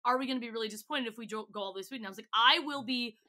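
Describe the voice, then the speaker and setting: Podcast guest, woman. Bright, slightly high voice, informal and gushing. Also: very fast. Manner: gushing, enthusiastic, informal fan talk. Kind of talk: casual chat.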